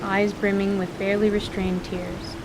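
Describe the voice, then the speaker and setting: A woman reading scripted story narration for an audio drama in a measured, articulate way. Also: mid-range voice, flat delivery, heavy background noise.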